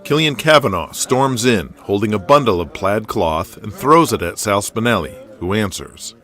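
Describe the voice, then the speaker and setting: A man's voice: lowish voice, animated, normal speed, fairly bright tone. A man narrating a scripted audio drama as its storyteller. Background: music.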